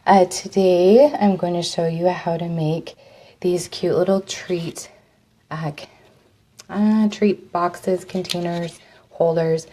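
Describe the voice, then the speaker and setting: A woman with a cold and a slightly raspy voice, chatting through a live step-by-step craft demo, her voice dropping off as she leans away from the mic to work. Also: lowish voice, steady tone, slow pace, dark tone. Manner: chatty, step-by-step instructions with fillers. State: hoarse from a cold. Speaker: craft host.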